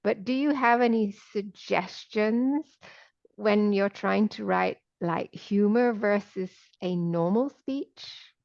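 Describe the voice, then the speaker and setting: Polite woman asking an earnest question, with a gravelly voice on a dry, dull recording. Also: medium pitch, darkish tone, dry recording. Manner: earnest, polite, slightly halting. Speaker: audience member asking questions.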